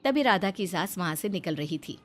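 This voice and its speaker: A woman's voice: lowish voice, animated, normal speed, noisy background. A woman reading scripted narration for a story as its narrator.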